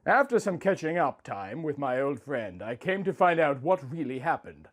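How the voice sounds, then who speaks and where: A man's voice: a little high, very animated, moderate pace. A man narrating a scripted first-person story. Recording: music under the voice. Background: music.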